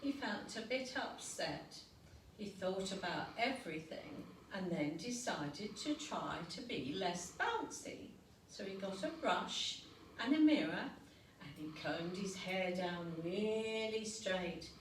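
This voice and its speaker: A woman reading a picture book aloud to young children as a storyteller, unhurried, expressive and gently dramatic. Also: medium pitch. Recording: roomy, noisy recording, distant mic.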